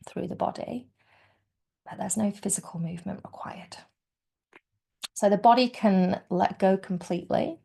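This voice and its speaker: Gently creaky woman guiding meditation. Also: mid-range voice. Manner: soft, soothing, unhurried delivery with gentle pauses. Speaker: yoga nidra teacher. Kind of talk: guided meditation.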